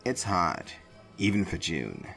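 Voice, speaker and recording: A man's voice: deep voice, sing-song, slow pace, gravelly, bright, forceful tone, soft background music, heavily acted. A man voicing a sly, flirtatious society woman with a wry, teasing manner in a comic book drama.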